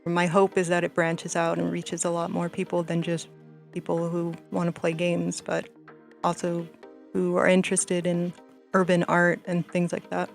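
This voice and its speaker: A woman with a rather low voice and plenty of vocal fry chats casually as a guest. Soft music sits faintly underneath. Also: completely flat delivery, moderate pace. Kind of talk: casual chat.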